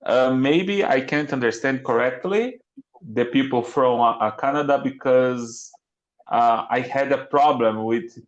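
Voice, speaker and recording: A man's voice: mid-range voice, lo-fi sound. A man from Brazil with a Brazilian Portuguese accent, speaking English as a learner on a video call and often stopping to search for words. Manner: halting, searching for words, many restarts. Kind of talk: casual online lesson chat. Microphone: computer mic on a video call.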